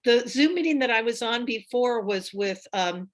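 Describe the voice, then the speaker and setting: A woman presents a writing workshop over a video call, her voice slightly high and her delivery chatty and enthusiastic. She keeps pausing on fillers as she talks. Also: lively intonation. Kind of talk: casual talk on a video call.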